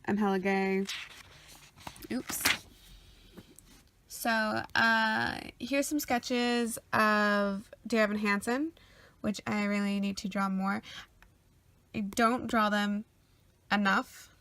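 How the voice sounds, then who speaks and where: A woman's voice: medium pitch, steady tone, slow, pauses often, fairly bright tone, no room sound. A sniffly woman rambling casually with lots of ums and uhs as she shows her sketchbook. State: sniffly after a cold. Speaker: artist showing her sketchbook.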